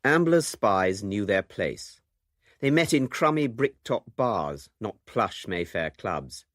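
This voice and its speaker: A man's voice: fairly low pitch, big pitch swings, takes it slowly, no echo, clean studio sound. A man presenting a radio documentary, reading a scripted narration.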